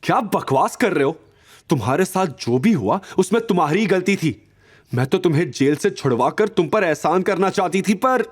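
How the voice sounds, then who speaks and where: Man acting haughty, high-pitched, scornful young character. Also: animated, moderate pace, slightly rough. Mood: indignant. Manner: indignant, sneering, emphatic. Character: haughty, angry, scornful young spouse or in-law. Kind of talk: Hindi audio drama acting.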